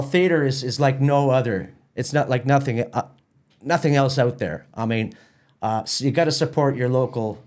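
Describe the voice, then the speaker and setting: A man with a Canadian accent chatting casually as an interview guest, explaining things in a halting, rambling way with repeated words. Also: mid-range voice, darkish tone. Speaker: festival organiser and stage manager, podcast guest.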